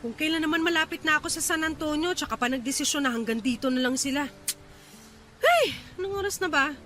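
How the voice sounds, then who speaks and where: A woman's voice: sits high, brisk, a bit bright, slight background noise. A woman playing a young woman stranded at night after a bus cut its route, complaining in exasperation in a radio drama. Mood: exasperated.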